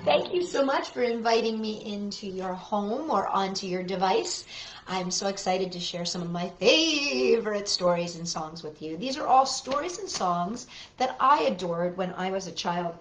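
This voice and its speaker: A middle-aged woman hosting a children's storytime, with an expressive, storytelling way of talking, recorded in a living room. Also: medium pitch. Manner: animated, expressive, deliberate phrasing when reading. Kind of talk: storytelling for children, talk and picture-book reading. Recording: roomy echo, dull lo-fi sound.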